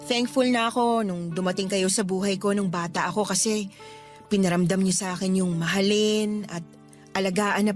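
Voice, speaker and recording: A woman's voice: medium pitch, lightly acted. Actress as a devoted, earnest young woman. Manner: polite, earnest, emotional. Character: devoted pregnant young woman, worried and tender. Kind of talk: Tagalog radio drama acting.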